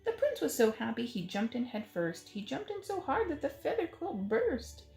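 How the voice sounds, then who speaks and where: Animated woman reading a children's story. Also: sits high, normal speed, gravelly. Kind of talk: expressive picture-book read-aloud in rhyme. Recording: roomy echo, faint music.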